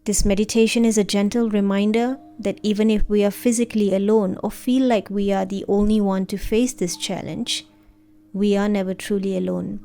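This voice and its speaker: A woman narrating a guided meditation in a gentle, soothing, unhurried manner. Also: medium pitch, little pitch movement. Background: background music.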